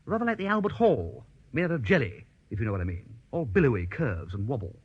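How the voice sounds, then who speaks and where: An actor playing an amiable, dithering young upper-class English gentleman, chummy and exclamatory, in a radio comedy drama. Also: medium pitch, big pitch swings, quick pace, breathy edge, lo-fi sound. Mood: wry storytelling. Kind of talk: first-person narration and radio comedy acting.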